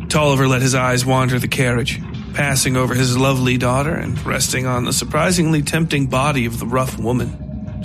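A man reading literary fiction as scripted narration, deliberate and descriptive in delivery. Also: mid-range voice. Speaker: audiobook-style narrator. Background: music.

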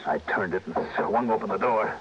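An actor playing a tough, terse investigator, middle-aged, acting and narrating in a hard-boiled radio drama. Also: male voice, on the low side, moderate pace, noisy background, close to an everyday voice. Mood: tense narration. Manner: clipped, hard-boiled, commanding. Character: tough, terse, wary male private insurance investigator. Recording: dull old radio broadcast recording.

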